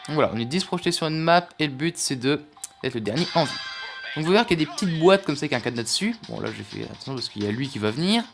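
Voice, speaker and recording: A man's voice: on the high side, expressive, very fast, vocal fry. A man casually commenting on a mobile game as he plays, hesitant, with lots of fillers and restarts. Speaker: gaming youtuber. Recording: music playing behind. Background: game music.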